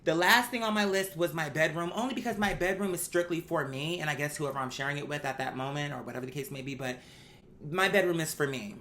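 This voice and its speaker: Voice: medium pitch, fast, a bit bright, slight background noise. A host telling a story in a casual monologue, with a sassy, candid, profane and emphatic manner. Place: bedroom. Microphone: microphone.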